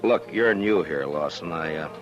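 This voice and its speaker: Tired old west marshal, really low voice, laconic, old dull radio western recording. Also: male voice, moderate pace, music in the background. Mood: low-key warning. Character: weary, plain-spoken male frontier marshal, steady and authoritative. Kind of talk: 1950s radio western acting.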